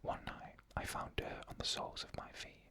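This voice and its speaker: An ASMR storyteller reading first-person tales in a fully unvoiced, hushed whisper, slow and even.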